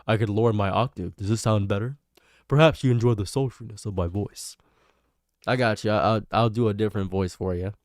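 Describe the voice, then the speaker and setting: A man's voice: lowish voice, brisk, no room sound, clean studio sound. Nasal man chatting on a live stream. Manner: rambling, self-mocking, talks to chat. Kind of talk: casual live stream chat.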